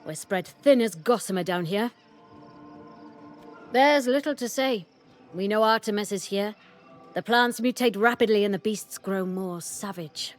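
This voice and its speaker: Actress as woman military captain, skeptical, formal, dry delivery, mid pitch, video game drama. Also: a little slow, pauses often, soft background music, natural voice. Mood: grave, worried.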